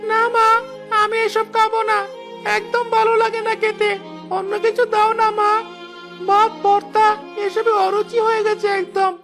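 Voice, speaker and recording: Very high, flat, whiny little boy voiced by a woman. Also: normal speed, vocal fry, music in the background. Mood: whining. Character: sickly, hungry, whining little village boy. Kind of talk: Bengali cartoon voice acting.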